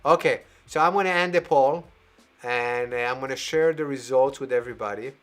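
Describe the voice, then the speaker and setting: A man hosting a live variety show over a video call, playful and animated, laughing as he talks. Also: medium pitch, a little fast. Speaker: host.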